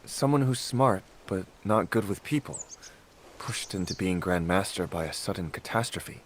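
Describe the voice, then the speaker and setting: A man's voice: sits low, lively intonation, moderate pace, a little hiss or hum. A man playing a curious, understanding young adventurer in a video game, speaking in a reflective, inquisitive manner. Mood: sympathetic, reflective.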